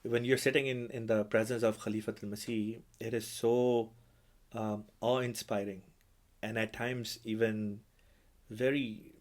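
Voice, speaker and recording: A man who speaks Urdu as his first language, hosting a podcast as an imam, talking in a measured, reverent way. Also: lowish voice, level tone, a little mellow. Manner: measured, reverent, with religious phrases. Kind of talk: conversational interview.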